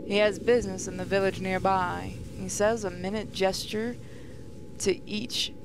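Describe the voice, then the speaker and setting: A woman's voice: medium pitch, creaky, background noise. A woman gaming host reading visual novel narration aloud, stumbling over the odd word as she goes. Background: prominent music.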